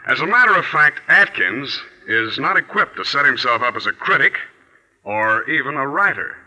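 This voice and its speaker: A man playing a dry, disapproving newspaper editor in 1940s radio drama, speaking in a measured, pointed way. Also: mid-range voice, big pitch swings, bright, forceful tone, exaggerated voice, rough recording. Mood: reading pointedly. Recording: 1940s radio broadcast, harsh sound.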